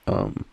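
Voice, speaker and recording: A young Australian man in a drowsy, halting, casual boyfriend roleplay, murmuring softly as he rambles through a story with slangy swearing. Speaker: audio roleplay performer playing a boyfriend. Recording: faint background ambient noise.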